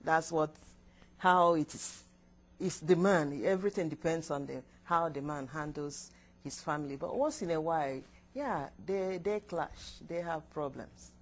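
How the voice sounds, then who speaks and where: A Nigerian woman whose first language is Igbo, answering interview questions haltingly, with restarts and short yes answers. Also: low-pitched. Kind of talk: recorded counseling interview.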